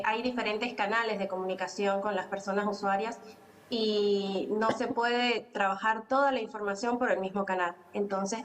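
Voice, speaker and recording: A woman from Venezuela with a Venezuelan Spanish accent, explaining calmly as a conference panelist and pausing often on fillers. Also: mid-range voice, slight background noise. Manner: explanatory, with frequent filler pauses.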